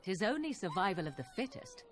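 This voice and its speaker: A woman's voice: mid-range voice, huge pitch swings, fairly quick, a little hiss or hum. A woman playing a haughty companion in a video game, mocking and disdainful in an arch drawl. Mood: scornful mockery. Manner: sarcastic, disdainful, archly drawling. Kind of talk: video game cutscene acting.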